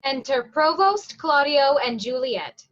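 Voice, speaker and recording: A woman's voice: sits high, animated, unhurried, a bit bright, highs cut off. A woman reading scripted stage directions aloud at a staged play reading.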